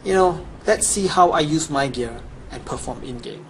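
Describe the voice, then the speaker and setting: A man's voice: on the high side, animated, normal speed. A young adult man giving a scripted promotional voice-over as a gamer presenting his guide. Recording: clearly noisy home recording.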